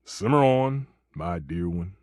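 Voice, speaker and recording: An actor playing a proud, temperamental, food-obsessed stove chef in a video game, with pompous, flowery delivery and exasperated outbursts. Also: male voice, sits low, expressive, slow pace, a little mellow, no room sound, clean studio sound. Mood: wounded, grandiose. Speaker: voice actor in a dating simulator game. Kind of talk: theatrical video game character acting.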